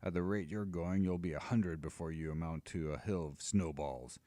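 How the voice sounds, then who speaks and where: A man putting on a loud hectoring giant, raised voice, dry close sound. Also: low voice, normal speed, no room sound, lightly acted. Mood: scolding. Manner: hectoring, bossy. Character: blustering scolding male giant.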